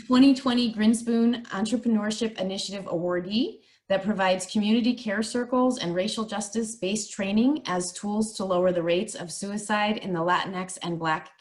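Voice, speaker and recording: A woman's voice: mid-range voice, normal speed. A woman moderating a webinar who introduces the speakers with praise and the occasional filler. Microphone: video call.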